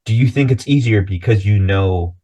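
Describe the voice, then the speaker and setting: A man's voice: lowish voice, moderate pace, dark tone. A man hosting a casual podcast chat. He is easygoing and joking, and he laughs along with his guest. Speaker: podcast host.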